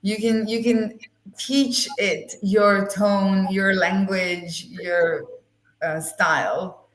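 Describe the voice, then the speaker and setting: Woman interviewer, very unhurried pace, medium pitch, hesitant phrasing, echoey sound. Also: fairly even intonation. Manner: hesitant, pauses while forming long questions. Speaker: live stream host, interviewer. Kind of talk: conversational interviewing.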